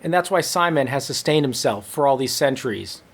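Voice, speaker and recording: A man reading a comic story to listeners with dramatic, drawn-out noir-style narration. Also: medium pitch, animated. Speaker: comedy storyteller.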